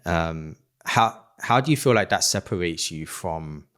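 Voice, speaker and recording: A man hosts a relaxed podcast conversation. His voice is deep and low. He reflects aloud and asks long questions, pausing on "um" and "you know.". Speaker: podcast host. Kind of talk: casual conversational interview.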